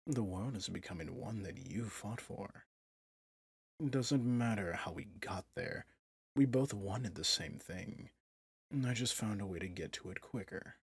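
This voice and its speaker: A possessive villain prince played by a man murmurs to his bride in a low, very breathy and husky voice. He is smug and coaxing, tender with menace underneath. It is an intimate ASMR roleplay, recorded close and dry. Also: dry recording, full character voice. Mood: persuasive, insistent. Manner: slow, seductive, menacingly tender.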